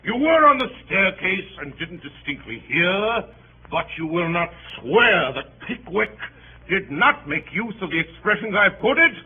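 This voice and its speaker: A pompous high-voiced bullying barrister. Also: male voice, sing-song, a little slow. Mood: hectoring, scornful. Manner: theatrical, sarcastic, hectoring. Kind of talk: 1930s radio acting. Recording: tinny, noisy 1930s radio broadcast.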